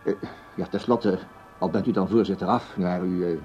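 An actor playing a flustered, fawning broadcasting boss in a radio play, stammering and turning snappish with orders. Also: male voice, sits low, fairly even intonation, moderate pace, a little mellow, dull audio, soft background music, natural voice. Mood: fawning, apologetic. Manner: stammering and obsequious, snapping when giving orders. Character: flustered, fawning male broadcasting boss who barks at staff.

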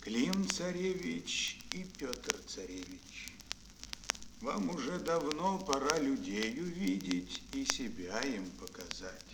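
Elderly fairy-tale king: solemn, fatherly, stately, drawn-out delivery, mid-pitched, noisy old record. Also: very animated. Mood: solemn, commanding. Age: older. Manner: slow, solemn, measured declamation. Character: aged fatherly tsar, solemn, stately, pleading with his sons. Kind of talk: fairy-tale audio play acting.

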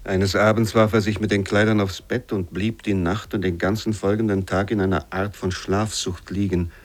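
A man reading literary prose for radio in a measured, formal storytelling manner. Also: lowish voice, level tone, close to an everyday voice. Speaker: reader of literary excerpts.

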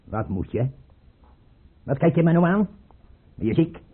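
An actor playing a paranoid, scornful tsar in a radio play, imperious and abrupt, with a husky, rough voice. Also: male voice, a little high, big pitch swings, a little slow, heavily acted. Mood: scornful, menacing. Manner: imperious, abrupt, mocking, swinging from mutter to outburst. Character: paranoid tyrant tsar, erratic, scornful, suspicious father. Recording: muffled, tinny old radio play recording.

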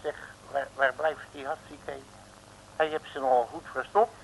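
An actor playing a cocksure, bumbling detective in an old radio comedy, full of slangy bluster and hesitations. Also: male voice, medium pitch, level tone, moderate pace, creaky, lightly acted. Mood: grumbling. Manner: hesitant fillers, folksy comic threats. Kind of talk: 1950s-60s Dutch radio comedy acting. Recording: noisy old radio recording.

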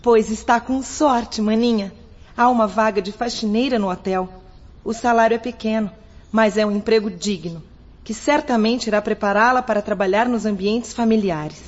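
An actress playing a kind, forgiving elder sister in a radio drama, with an earnest, tender manner. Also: female voice, fairly high pitch, fairly even intonation, normal speed, close to an everyday voice. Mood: encouraging. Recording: dull lo-fi radio drama recording.

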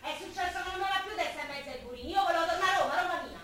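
A very high-pitched, quarrelsome Roman woman of the 1940s working class, played by an actress. She is loud and exclamatory in thick dialect. The recording is distant and noisy, ringing in a big echoey hall. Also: very fast. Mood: indignant. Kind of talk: live stage radio play in dialect.

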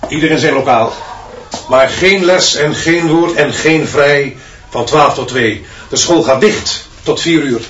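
An actor playing a strict school authority giving orders in a radio play. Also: male voice, on the high side, unhurried, fairly bright tone. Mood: commanding. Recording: distant, echoey, dull, faint noise.

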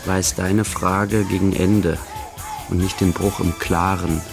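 An actor in a literary radio play, playing a reflective man reciting measured poetry. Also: sits low, level tone, exaggerated voice. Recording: clear music behind the voice. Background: music.

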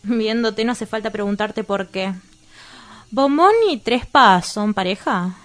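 A woman's voice: mid-range voice, slow pace, fairly bright tone. A woman playing a prim, sarcastic and inquisitive woman in a dramatized dialogue, with an Argentine accent. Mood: probing, wry.

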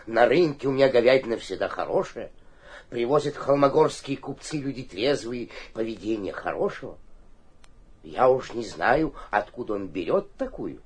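An actor playing a wily, fawning, middle-aged provincial town governor, corrupt and fearful, with a husky voice in a theatrical radio play. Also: male voice, medium pitch, animated, moderate pace, darkish tone. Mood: deferential, nervous. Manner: fawning, swinging from pleading to sly muttering. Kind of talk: theatrical radio play acting with muttered asides.

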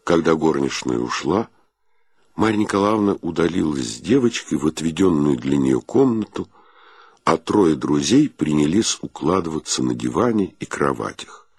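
An actor narrating a comic radio play as a wry male storyteller with a gravelly voice and a measured, dryly ironic delivery. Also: very deep voice, expressive, darkish tone, dry recording, exaggerated voice.

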